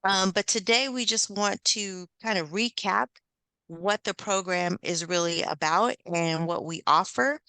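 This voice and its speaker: A woman's voice: mid-range voice, measured pace, pushed and bright, clear, resonant tone, no echo, poor audio. A woman hosting an online session over a video call, upbeat and encouraging, with lots of filler words. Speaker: host and facilitator.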